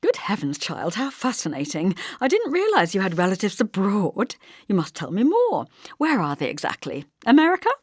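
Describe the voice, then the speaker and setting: A woman's voice: mid-range voice, huge pitch swings, normal speed, dry recording, clean studio sound, voice pushed up. A woman voicing a snobbish, probing middle-aged mother of the groom in an audiobook, with genteel disapproval. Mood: eagerly prying.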